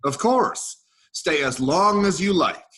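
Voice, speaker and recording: A man's voice: a little high, animated, slow pace, fairly bright tone. A man in a staged play reading, playing a macho G.I. Joe soldier doll, cocky and protective, with tough-guy endearments. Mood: protective, reassuring.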